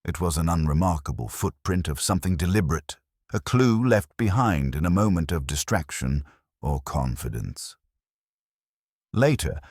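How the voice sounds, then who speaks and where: A man narrating a scripted detective story in a measured, literary storytelling manner. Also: extremely low pitch, slightly rough, no echo, clean studio sound.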